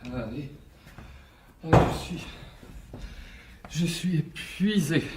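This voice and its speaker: An actor playing an exhausted, erratic and obsessive married man in a French radio drama, speaking in halting, repetitive phrases. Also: on the high side, lively intonation, heavy background noise. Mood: weary, dazed.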